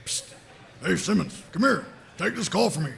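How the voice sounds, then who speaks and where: Gravelly, bossy army sergeant. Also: male voice, high-pitched, animated, moderate pace, a bit bright, heavily acted. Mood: irritated, grudging. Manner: barking, pompous military commands. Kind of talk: comedy script table read.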